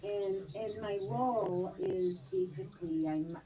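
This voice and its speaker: A woman actress being interviewed on a live stream, chatty and animated, laughing often. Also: medium pitch, unhurried. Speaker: guest actress. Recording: distant mic with faint noise.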